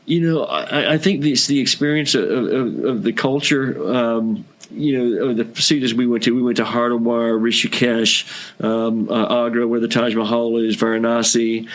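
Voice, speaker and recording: A man's voice: mid-range voice, steady tone, moderate pace, poor audio. An American man from Kentucky talking casually as a podcast guest, earnest and effusive, repeating phrases for emphasis. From Kentucky, United States. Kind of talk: casual conversational interview.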